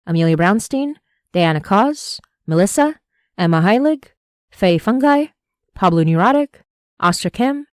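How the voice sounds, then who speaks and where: A woman, a podcast creator and host, reading scripted credits and shout-outs. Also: on the low side, no echo, clean studio sound.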